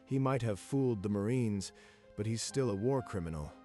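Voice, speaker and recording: A man's voice: on the low side, lively intonation, moderate pace, warm tone, lightly acted. Man voicing a harsh, accusing council member. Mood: hostile. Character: hostile male academy council member. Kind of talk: manga dub voice acting. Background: faint music.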